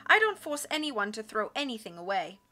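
A woman's voice: fairly high pitch, very animated, brisk, bright, forceful tone. A woman narrator voicing a nervous, bearded male island facilitator who tries to placate everyone. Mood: defensive. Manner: fretful, placating.

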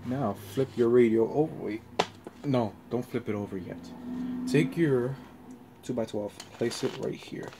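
A man giving a casual hands-on tutorial in halting, short step-by-step instructions. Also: mid-range voice, noisy background. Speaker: tutorial presenter. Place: carpeted floor in a room at home.

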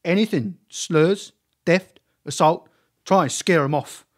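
A man playing a nervous, cowardly hired thug in an audio drama, rough and slangy, blurting in short bursts. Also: a little high, slow pace. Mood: nervous, confessing.

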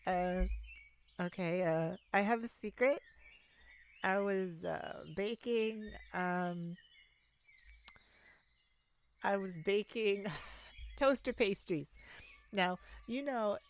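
A woman playing a silly, affectionate, giggly and bashful young boyfriend in an ASMR romance roleplay. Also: medium pitch. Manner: giggly, bashful, hesitant, gushing. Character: silly, playful, affectionate young boyfriend surprising his partner. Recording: clearly noisy, ambient sounds behind.

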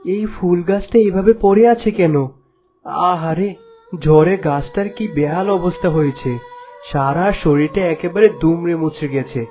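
A man playing a kind, earnest young prince in a fairytale cartoon, with an expressive delivery. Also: on the high side, normal speed, close to an everyday voice. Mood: concerned. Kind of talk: Bengali fairytale cartoon acting.

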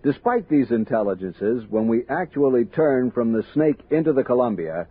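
An actor playing a steady frontier expedition captain, telling his story in measured 1960s radio drama style. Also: mid-range voice, breathy edge. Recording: muffled 1960s radio recording with music.

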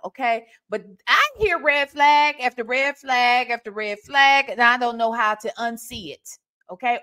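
Woman, forties, Southern US accent, emphatic sassy talk, slightly high voice, home webcam setup. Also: a bit bright. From Ohio, United States. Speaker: reaction video host. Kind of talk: casual commentary on a played call. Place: small room at home, indoors. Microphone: webcam with desk microphone.

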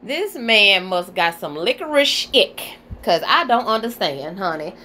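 A woman's voice: mid-range voice, very animated, a little slow, pushed and bright, slight background noise. A woman giving casual reaction commentary on gossip, sassy and exclamatory, talking straight to her listeners.

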